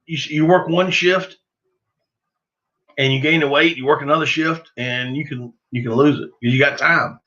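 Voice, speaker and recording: A man's voice: on the high side, fairly even intonation, normal speed, sharp tone, dull audio. A middle-aged man with a Southern US accent chatting casually on a livestream, rambling in a folksy, self-deprecating way. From southern United States. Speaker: livestream host of a duck hunting channel. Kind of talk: casual livestream chat, answering viewers.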